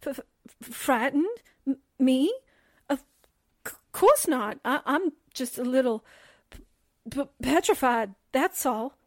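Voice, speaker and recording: Nervous, stammering girl sidekick for radio drama, high voice, slow delivery, dry sound. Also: very animated, a little mellow, dry recording, full character voice. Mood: petrified. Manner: stammering when frightened. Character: timid, jittery young female assistant, easily frightened. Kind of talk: 1940s radio drama acting.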